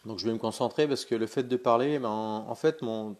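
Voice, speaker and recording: A man's voice: medium pitch, a little fast. A man with a cold, casually demonstrating something in a vlog and talking in a hesitant, chatty way with many fillers and restarts. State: has a cold. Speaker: pendulum dowsing vlogger.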